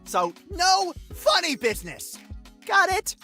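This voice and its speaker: A woman's voice: extremely high pitch, very animated, slow, pushed and bright, faint music behind. A woman voicing a brash, suspicious police officer who is grudgingly apologetic, in a visual novel. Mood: gruff, grudging. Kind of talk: acting out visual novel dialogue on a stream.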